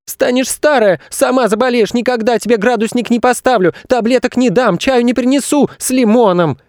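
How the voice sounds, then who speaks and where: Shrill, bratty little boy. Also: normal speed, no echo, clean studio sound. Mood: spiteful. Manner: shouting, petulant, defiant. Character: small bratty boy, spiteful, shouting tantrum-thrower. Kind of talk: children's story reading.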